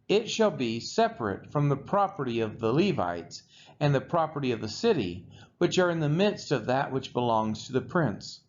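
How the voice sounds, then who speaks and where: A man reading scripture aloud from the text in measured, separate phrases. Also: a little high, a little mellow. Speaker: scripture reader. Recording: muffled lo-fi audio with background noise.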